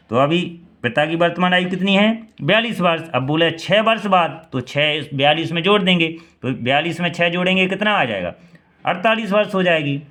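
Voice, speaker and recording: A man's voice: on the high side, bright, forceful tone. A man in his thirties from India teaching an exam-prep maths lesson, quizzing the listener and answering himself, repeating key steps. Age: 30s.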